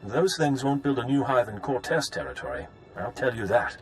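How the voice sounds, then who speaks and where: A man playing a gruff, loyal, plain-spoken guard of a noble house in a video game. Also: medium pitch, sing-song, normal speed, soft background music, natural voice.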